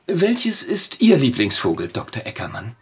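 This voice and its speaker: A man playing a courteous, chatty English gentleman, polite and flattering, in a German radio play. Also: medium pitch, normal speed, slight background noise.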